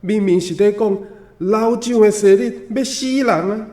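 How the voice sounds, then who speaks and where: Pushy, suspicious secret agent, higher voice. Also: male voice, a little slow, warm tone. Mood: accusing, triumphant. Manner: interrogating, accusatory. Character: pushy suspicious secret-police agent from China, under martial law. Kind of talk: Taiwanese radio drama acting.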